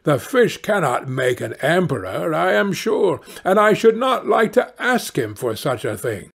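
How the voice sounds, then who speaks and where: A man's voice: a little high, sing-song, unhurried, vocal fry, breathy edge, full character voice. A man voicing a meek, worried, henpecked fisherman in a fairy-tale audiobook, with a pleading, plaintive manner.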